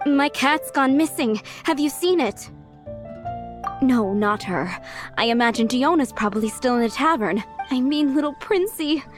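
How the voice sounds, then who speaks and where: A woman's voice: sits high, expressive, measured pace, music in the background. A woman voicing a friendly, worried cat-owning townswoman in a video game.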